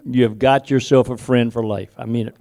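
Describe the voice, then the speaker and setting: A man at a student auction who tells stories in a folksy way and calls bids in a rapid rhythmic chant. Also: medium pitch, fairly even intonation, a little mellow. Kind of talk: auction bid calling. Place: auction hall.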